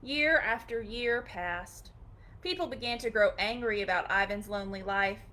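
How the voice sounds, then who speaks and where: A woman's voice: medium pitch, normal speed, pushed and bright. A woman hosting a storytime, reading a picture book aloud expressively for children, with short chatty asides.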